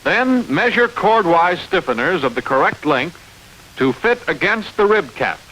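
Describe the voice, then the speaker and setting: A man reading scripted instructional narration for a training film, explaining step by step in a clear manner. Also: sits high, lively intonation, a little slow, bright, forceful tone, low-quality recording. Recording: hissy old training film soundtrack.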